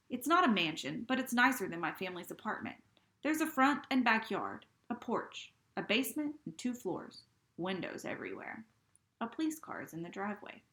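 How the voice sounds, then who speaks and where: A woman reading a first-person novel aloud, with expressive, dramatic delivery. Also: a little high, reverberant. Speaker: reader.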